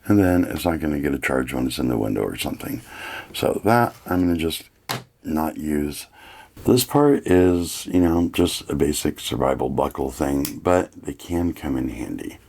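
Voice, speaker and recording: A man with a gravelly, husky voice giving a casual, plain-spoken hands-on product review. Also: rumbling low, animated, moderate pace, a little mellow, slight background noise.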